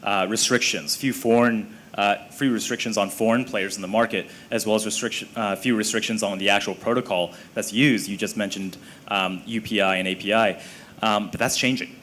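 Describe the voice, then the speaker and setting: A man speaking as a panelist, explaining in a measured way. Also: lowish voice, fairly even intonation, fairly bright tone, a little hiss or hum. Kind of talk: panel discussion.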